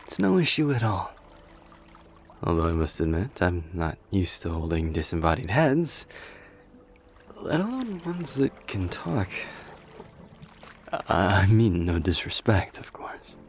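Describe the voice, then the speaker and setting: A man's voice: sits low, big pitch swings, unhurried, a little hiss or hum, exaggerated voice. A man playing a courteous, reflective warrior king in an ASMR audio roleplay, speaking formally and politely with some hesitation. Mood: polite, awkward.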